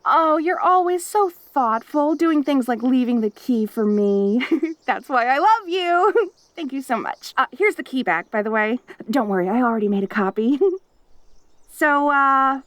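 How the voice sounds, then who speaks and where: A woman's voice: high-pitched, animated, measured pace, fairly bright tone, no room sound. A woman playing a hyper, clingy, perky young wolf girl in an audio roleplay, with a giggly, rambling, stammering and eager delivery. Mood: giggly, pleased, then bragging, rambling. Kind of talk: audio roleplay addressed to the listener.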